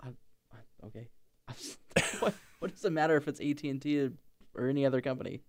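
A woman with a low voice chimes in as a show's producer. She chats casually, her phrases coming out hesitant and halting. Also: animated, a little mellow. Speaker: show producer. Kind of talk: casual banter.

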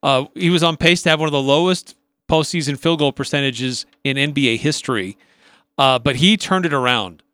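Higher-pitched American man, sports radio co-host. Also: brisk, a bit bright, dry recording. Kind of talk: sports talk radio analysis and chat.

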